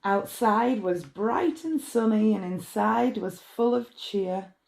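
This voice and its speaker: A woman reading a rhyming bedtime story in a measured, expressive way. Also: mid-range voice, gravelly. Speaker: storybook reader.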